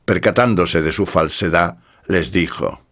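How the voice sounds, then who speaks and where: A man giving a scripted narration of scripture in a solemn, measured way. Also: low voice, a bit bright.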